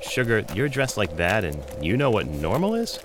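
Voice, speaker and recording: A man's voice: lowish voice, huge pitch swings, normal speed, music in the background. A man voicing a sarcastic, teasing talking coyote in a comedic audio drama, with slangy delivery. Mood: sardonic. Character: wisecracking, smart-aleck male talking coyote.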